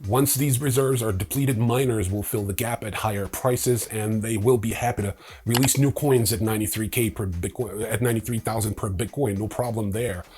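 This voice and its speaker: A man hosting a news show with opinionated commentary, informal and sardonic in manner, with a husky voice. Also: on the low side, fairly even intonation, fairly quick, a little mellow. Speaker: host of a crypto news show.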